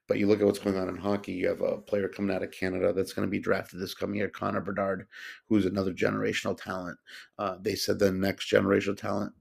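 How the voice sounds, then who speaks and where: A man's voice: low voice, gravelly, husky. A man hosting a solo podcast, talking casually and rambling with lots of fillers and restarts. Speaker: podcast host.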